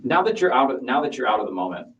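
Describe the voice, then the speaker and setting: A male investigator with a medium-pitched voice questions someone in an interview room. He speaks haltingly, repeating words as he works through his reasoning. The microphone is some distance away. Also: very animated, a bit bright, dull audio. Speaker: homicide investigator questioning a suspect. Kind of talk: police interrogation.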